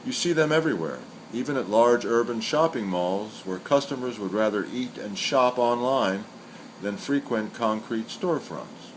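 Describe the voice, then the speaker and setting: A man giving a scripted literary reading of short poems and commentary, reciting in a deliberate, measured way. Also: mid-range voice, low-quality recording. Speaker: poet reading aloud. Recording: steady background hiss or hum.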